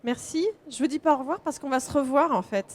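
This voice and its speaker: Female event host, fairly high voice, spontaneous interviewer who jumps in to check and rephrase. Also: fast talker. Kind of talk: live conference interview, spontaneous.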